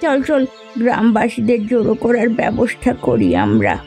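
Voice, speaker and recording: A woman's voice: a little high, wildly swooping pitch, unhurried, dark tone, soft background music, exaggerated voice. A woman voicing a long-suffering, plain-spoken villager in a fairy-tale cartoon. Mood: concerned.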